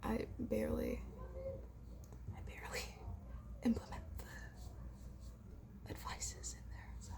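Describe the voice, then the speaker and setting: Woman playing a shy, whispering bookish schoolgirl. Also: lightly acted. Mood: shy, musing. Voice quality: breathy whisper. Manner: hesitant, soft-spoken, halting. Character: shy bookish girl from the back of class. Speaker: ASMR roleplay performer. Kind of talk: whispered ASMR roleplay, improvised casual chat.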